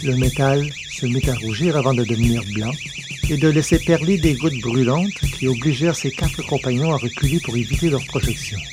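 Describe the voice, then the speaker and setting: A man reading scripted science fiction narration in a measured, literary way. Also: mid-range voice, noisy background. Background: music.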